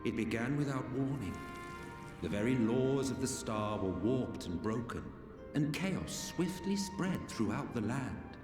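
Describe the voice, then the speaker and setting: An actor playing an ancient immortal villain in a video game, theatrical and condescending, with formal archaic phrasing. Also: male voice, medium pitch, expressive, measured pace, lightly acted. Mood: solemn storytelling. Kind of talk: dramatic video game acting. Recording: echoey hall, distant, loud music behind.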